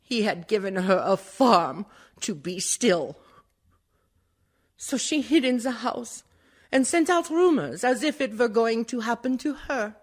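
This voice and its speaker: A woman plays a sorrowful mother in a radio verse drama. Her voice sits slightly high and moves slowly as she remembers a secret son. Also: expressive. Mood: wistful, confiding. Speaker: actor in a community theatre radio play.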